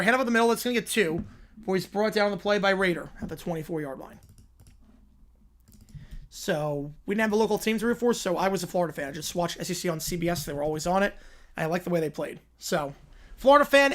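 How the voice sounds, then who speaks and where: A young man from Long Island hosts a football watch-along stream, calling each snap and then drifting into easy chat with his viewers. His voice sits fairly high for a man. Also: fairly quick, fairly bright tone. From Long Island, New York. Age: 20s. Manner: chatty, answers viewer questions between plays. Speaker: livestream host and play-by-play commentator. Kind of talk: casual live play-by-play with viewer chat.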